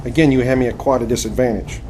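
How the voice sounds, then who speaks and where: A man's voice: mid-range voice, steady tone, fast, dark tone, slight background noise, close to an everyday voice. An actor playing a courteous, bewildered nineteenth-century man with hesitant, old-fashioned formality in an audio drama. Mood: polite, uneasy. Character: polite, bewildered nineteenth-century American man, naive newcomer.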